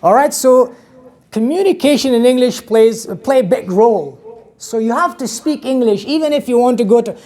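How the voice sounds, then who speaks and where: An Afghan-accented man in his thirties lectures a class in a small classroom, gesturing and throwing questions at his students. His voice is picked up by a camera across the room. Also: very high voice. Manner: animated, gestures widely, asks the class questions. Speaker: English teacher.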